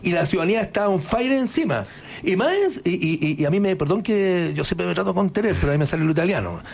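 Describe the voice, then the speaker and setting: Chilean congressman, high voice, emphatic and interjecting, keeps restarting. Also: expressive, fast, vocal fry, darkish tone. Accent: Chilean Spanish. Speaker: congressman guest. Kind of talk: political talk show debate.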